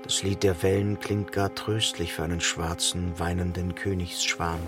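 An actor playing a solemn, elegiac narrator who echoes a doomed king's thoughts in measured radio play phrases. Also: sits low, little pitch movement, lightly acted. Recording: music behind the voice. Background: music.

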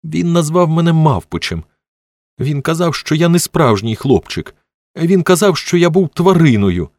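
A man's voice: medium pitch, big pitch swings, normal speed, creaky, no room sound, studio quality, voice pushed up. Audiobook role: shy, wounded small boy, quavering raised voice, plaintive, performed by a man. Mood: tearful, hurt. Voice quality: trembling voice. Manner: plaintive, childlike, repetitive. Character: frightened, hurt little boy, shy and sensitive.

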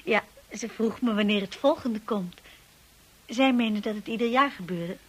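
An actress in a Dutch radio drama playing an insecure, earnest young wife who speaks in a hesitant, confiding way, often hushed. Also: female voice, a little high, a little slow, husky. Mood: polite, curious.

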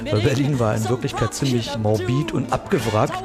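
Casting: male documentary interviewee, medium pitch, halting explanatory talk, prominent music underneath. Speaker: interviewee, commentator on cultural history.

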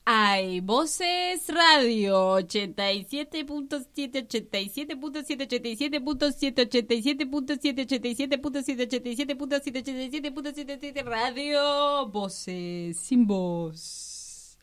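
A woman's voice: sits high, moderate pace, a bit bright. A woman with an Argentine accent from La Rioja, recording playful community radio station promos with repeated chanted lines. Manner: playful, repetitive chanting, laughing asides.

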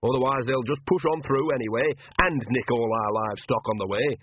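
A man's voice: mid-range voice, brisk, phone-quality sound, a little hiss or hum, close to an everyday voice. A man voicing a bossy, shrewd older woman witch who talks in a blunt, colloquial, cajoling way.

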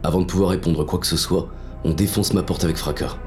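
A man's voice: sits low, steady tone, fast, darkish tone, faint music behind, natural voice. An actor playing a crude, confused man on the run, narrating in the first person with colloquial dialogue in an audio drama. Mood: wary. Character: confused hunted man, crude and lustful, narrating his escape.

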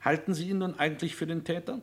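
An actor playing a calm, firm senior New York police official in a vintage radio crime drama, speaking in a measured, formal way. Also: male voice, fairly high pitch, natural voice. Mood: confiding.

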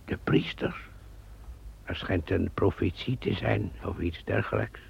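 An actor playing a brooding pond keeper who muses and stammers in a radio play. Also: male voice, deep voice, fairly even intonation, a little slow, in a whisper, heavily acted. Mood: musing. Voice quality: gravelly, raspy. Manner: musing, stammering. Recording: dull lo-fi recording.

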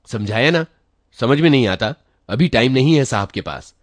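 A man voicing a gruff, curt office peon in a short story, impatiently shouting people away. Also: mid-range voice, lively intonation, normal speed, no room sound, voice pushed up, close to an everyday voice. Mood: impatient, irritated. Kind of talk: dramatized short story reading.

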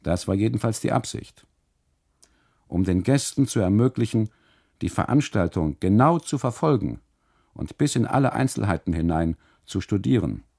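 A man's voice: fairly low pitch, lively intonation, normal speed, gravelly, voice pushed up. A man playing a boastful, aggrieved café custodian in a literary radio monologue, calling out emphatically and excusing himself. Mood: showy, explaining. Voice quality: loud, calling out. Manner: emphatic, self-justifying.

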